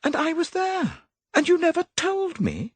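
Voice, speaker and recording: A man's voice: very high-pitched, huge pitch swings, unhurried, dry recording, pitched above the performer's own voice, full character voice. A man voicing an anxious, indignant young woman in an audiobook. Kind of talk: audiobook character reading.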